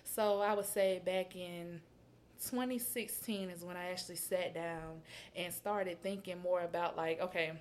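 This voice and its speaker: A woman in her early twenties, a designer guest, talking in a relaxed, reflective way with many "like" fillers. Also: fairly low pitch, unhurried, slight background noise. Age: 20s. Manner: relaxed and reflective, lots of "like" fillers, trails off softly. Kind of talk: casual interview chat.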